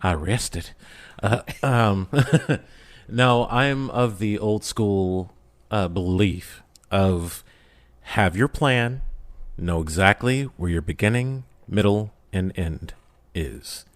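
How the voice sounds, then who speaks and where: A man's voice: lowish voice, slow, dry recording. A man chatting casually as a podcast guest, with a jokey, self-deprecating manner. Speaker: podcast guest, audio drama creator. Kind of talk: animated casual podcast chat.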